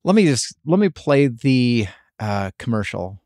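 A man hosting a podcast, talking casually by himself in a halting, rambling way. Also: medium pitch, very animated, darkish tone, dry recording, clean studio sound. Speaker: podcast host. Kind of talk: casual solo podcast commentary.

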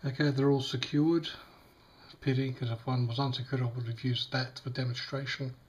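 A man with a slightly croaky voice, demonstrating a device in a casual, hesitant way with many fillers. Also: medium pitch, little pitch movement, normal speed. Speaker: tech unboxing vlogger. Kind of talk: casual product demo. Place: small indoor room at a table.

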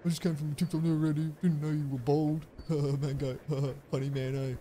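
A man in his thirties with an Australian accent, chatting casually with viewers while streaming a game on a headset mic, relaxed and chatty with sudden loud outbursts. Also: on the high side, brisk, slight background noise. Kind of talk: casual live-stream chat while gaming.

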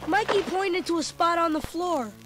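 A woman's voice: very high-pitched, moderate pace. A chubby food-loving boy. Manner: chatty, comic asides. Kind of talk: storybook narration. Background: music.

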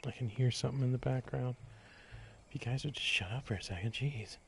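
A man's voice: medium pitch, fairly quick, creaky, a little hiss or hum. A man doing casual live gameplay commentary, exclaiming, swearing and muttering jokey remarks to himself. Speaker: video game live streamer.

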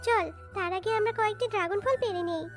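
A gentle, loving mother animal from a children's cartoon, voiced by a woman. She speaks soothingly and fondly in a very high voice, with music behind her. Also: fast talker. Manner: soothing, affectionate. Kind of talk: cartoon voice acting.

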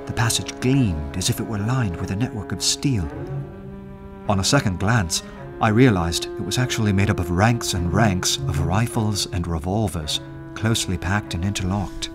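Deep-voiced actor as measured male narrator over music. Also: natural voice. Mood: atmospheric, measured. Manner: measured storytelling. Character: male poet recalling his adventure as narrator, measured and observant. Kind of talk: scripted first-person narration in a radio play. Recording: clear musical score behind narration.

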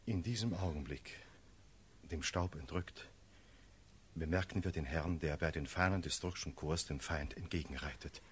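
An actor playing a grave cavalry officer, giving a solemn, measured verse report in a classical radio play. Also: male voice, very deep voice, a little hiss or hum.

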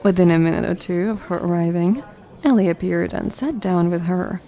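A woman's voice: lowish voice, moderate pace, warm tone. A woman narrating a scripted story for an audio drama.

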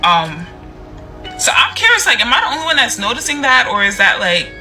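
Chatty young woman, bright voice, in a car. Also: a little high, big pitch swings, a little fast, faint music behind. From United States. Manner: chatty, many fillers, questioning. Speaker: content creator. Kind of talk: casual chat to camera. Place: parked car. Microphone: phone at arm's length.

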